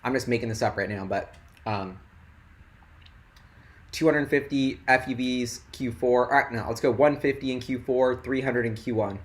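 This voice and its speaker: A young man hosting a casual investing livestream, rambling and excitable with lots of "like", his voice going hoarse. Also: mid-range voice, moderate pace. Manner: rambling and excitable, heavy "like" filler.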